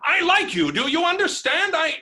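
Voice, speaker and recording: An actor playing a hot-headed, stammering landowner who is helplessly falling in love, in a theatrical staged reading of a farce. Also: male voice, very high voice, very animated, sharp tone, heavily acted. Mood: flustered, pleading. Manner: theatrical, stammering, swings from halting to bellowing.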